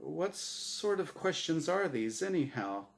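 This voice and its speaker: A man's voice: on the high side, very animated, unhurried, gravelly, pitched above the performer's own voice, heavily acted. A man voicing a meek, bewildered, anxious man in a storybook reading, plaintive and questioning. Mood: plaintive, protesting. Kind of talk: storybook character voice in dramatic reading.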